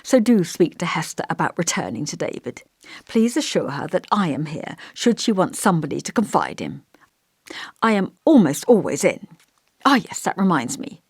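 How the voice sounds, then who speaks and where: Woman voicing a fussy, genteel old vicar, swooping pitch. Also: sing-song, normal speed, husky, no echo, studio quality, exaggerated voice. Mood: earnest, reproving. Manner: pompous, old-fashioned, genteel, musing. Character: elderly male village vicar, kindly, fussy, long-winded. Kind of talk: audiobook character dialogue.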